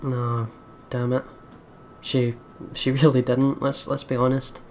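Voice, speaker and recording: A let's play host commenting on a game in an animated, informal way, often repeating words. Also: medium pitch, takes it slowly, a little hiss or hum. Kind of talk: game commentary and dramatic read-aloud of narration.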